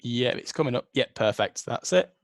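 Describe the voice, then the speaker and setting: Gravelly man hosting a video call. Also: mid-range voice, expressive, moderate pace, dry recording. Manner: reassuring, brief interjections. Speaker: session organiser. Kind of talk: casual chat on a video call.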